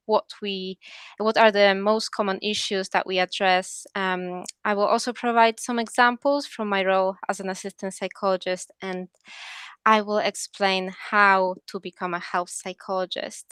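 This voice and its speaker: Young Polish woman, accented English, smooth voice, earnest and explanatory. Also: mid-range voice, fairly bright tone, no echo. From Poland. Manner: earnest, explanatory, frequent ums and restarts. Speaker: assistant psychologist, guest speaker. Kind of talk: presentation talk.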